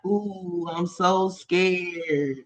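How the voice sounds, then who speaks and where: A woman's voice: fairly low pitch, steady tone, extremely slow. A woman co-hosting a live stream, chatting casually in a commanding, lecturing tone. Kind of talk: casual commentary chat.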